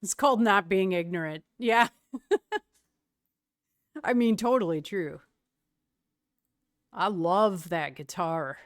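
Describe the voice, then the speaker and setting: A woman's voice: fairly low pitch, slow pace, pauses often, no echo, studio quality. A young woman from Wisconsin with a slight Wisconsin accent, chatting casually with her stream's chat and laughing often.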